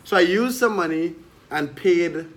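A man in his thirties with a slightly high voice. He speaks as an interview guest, telling his own story in a candid, casual, conversational way. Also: expressive, measured pace, a bit bright. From Trinidad and Tobago. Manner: candid, informal. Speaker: interview guest, online entrepreneur.

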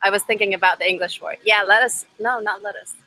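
Bright-voiced young Iranian woman, conversational. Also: mid-range voice, steady tone, quick pace, rough recording. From Iran. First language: Farsi. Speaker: podcast guest, startup co-founder. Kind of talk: conversational personal storytelling. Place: outdoors by a river. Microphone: video call.

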